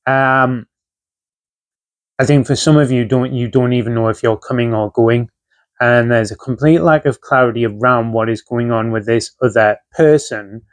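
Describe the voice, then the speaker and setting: A man's voice: medium pitch, fairly even intonation, moderate pace, no echo. Man reading tarot, dry close sound. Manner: conversational, with frequent fillers and asides. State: recovering from a chest infection. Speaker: tarot reader. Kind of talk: casual tarot reading to camera.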